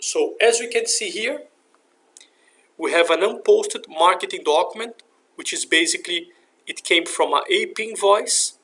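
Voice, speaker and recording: A man giving a step-by-step software tutorial in an explanatory, instructional way. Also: high voice, moderate pace. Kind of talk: scripted software tutorial narration.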